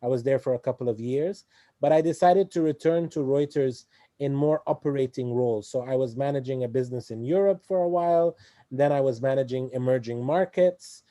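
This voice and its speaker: Guest man in his forties from Egypt. Very dark, mellow voice, dry sound, halting and full of fillers. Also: medium pitch, dry recording. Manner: hesitant, many fillers and restarts. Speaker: interview guest. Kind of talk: conversational interview.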